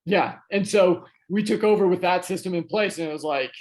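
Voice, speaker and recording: A man's voice: high-pitched, fairly quick, darkish tone. A man who is a guest on a podcast, telling a story casually with plenty of fillers and restarts. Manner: chatty, many fillers and restarts. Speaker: podcast guest, real estate investor.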